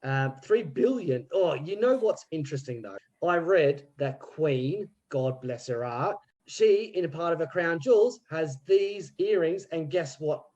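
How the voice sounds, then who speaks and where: A man's voice: fairly high pitch, animated, unhurried, hardly any pauses, mellow, dull audio. A man putting on a slangy British crook. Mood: scheming. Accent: put-on British. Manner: rambling, full of restarts and slang. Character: scheming slangy British male petty crook. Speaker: improv player. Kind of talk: improvised comedy scene.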